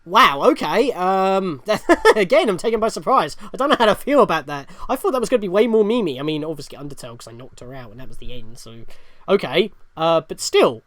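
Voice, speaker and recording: A video host giving reactive, rambling gaming commentary and thinking out loud. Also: mid-range voice, expressive, a little fast, no echo, studio quality. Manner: rambling, self-questioning.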